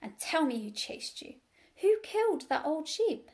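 A woman's voice: sits high, big pitch swings, moderate pace, a little mellow. A woman voicing a proud, exasperated old female sheepdog with a commanding manner in an animal storybook. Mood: angry. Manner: barking, commanding, strained politeness.

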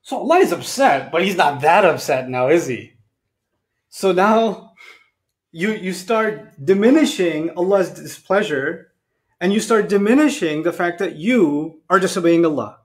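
This is a man around his forties with a notably high voice. He preaches like someone chatting across a table, animated and colloquial. He keeps tossing out rhetorical questions and slipping into acted-out asides. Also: unhurried. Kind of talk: conversational sermon with acted-out asides. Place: indoors at a lectern. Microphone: lapel mic.

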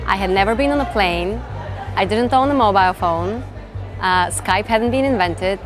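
Thoughtful woman from Bulgaria, music beneath. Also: medium pitch. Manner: thoughtful, measured, with frequent fillers and restarts. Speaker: interviewee, tech executive. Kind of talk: reflective interview answers. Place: event interview set, indoors. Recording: music bed behind voice.

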